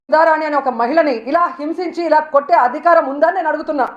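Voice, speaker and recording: Rapid high-voiced woman, echoey noisy clip. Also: fairly even intonation, muffled recording. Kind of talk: political speech.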